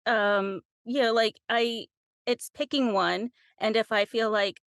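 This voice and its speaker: A woman's voice: fairly high pitch, steady tone, slow, a bit bright, dry recording. Young-sounding female interview guest, informal and giggly, very dry close recording. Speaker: audiobook narrator, podcast guest. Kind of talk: casual conversational interview.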